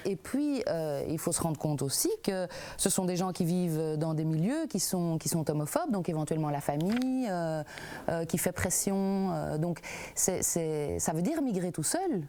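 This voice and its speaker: A woman's voice: lowish voice, expressive, normal speed, dark tone. A woman from an advocacy association answering interview questions, thinking aloud and searching for words. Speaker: association worker.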